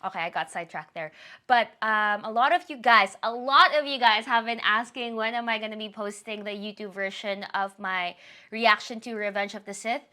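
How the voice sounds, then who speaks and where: Bright-voiced woman chatting to camera. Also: mid-range voice, normal speed. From Philippines. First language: Tagalog. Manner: chatty, apologetic, rambling with self-corrections. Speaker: YouTuber giving a channel update. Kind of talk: casual chat to camera.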